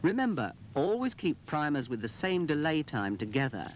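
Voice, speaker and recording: A man's voice: on the high side, big pitch swings, moderate pace. Male training-film presenter, instructive and emphatic, scripted read, muffled vintage soundtrack with light noise. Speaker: narrator and presenter.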